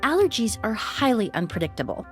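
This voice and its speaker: An actress playing a courteous, composed young specialist in a video game, explaining things in a measured, polite way. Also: female voice, a little high, sing-song, soft background music. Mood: composed, helpful. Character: composed, courteous young woman, knowledgeable perfume and medicine specialist.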